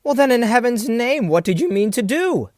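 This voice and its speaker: A man's voice: very high voice, very animated, moderate pace, dry recording, studio quality. A man playing a proud, volatile sea captain in an audio drama, indignant and theatrical in formal period diction. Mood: accusing, heated. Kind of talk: stage-play acting in an audio drama.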